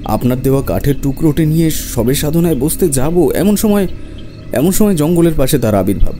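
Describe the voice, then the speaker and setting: A man's voice: fairly high pitch, lively intonation, a little fast, warm tone, natural voice. Man playing an urgent tantric seeker over music. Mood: urgent, earnest. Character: earnest male tantric practitioner, urgently convincing a holy man. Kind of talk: audio horror drama acting. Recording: music behind the voice.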